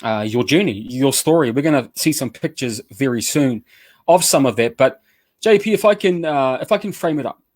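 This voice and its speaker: A man with a New Zealand accent warmly hosts a casual radio chat in an even middle register. He sounds upbeat and loose and keeps restarting phrases as he talks. The mic is close and the room is dry. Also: medium pitch, normal speed, dry recording. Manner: upbeat, relaxed slang, frequent restarts. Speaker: show host.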